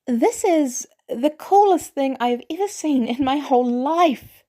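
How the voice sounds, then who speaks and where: A woman acts out a teenage boy in an audio story. He is eager and stubborn, pitched high, and blurts his questions with cheeky, excitable curiosity. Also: a little slow. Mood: excited, curious.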